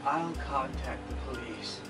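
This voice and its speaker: A man's voice: mid-range voice, unhurried, distant mic, over music, close to an everyday voice. A man playing a scientist's dutiful assistant in an audio drama. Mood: obedient. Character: dutiful male assistant to the doctor.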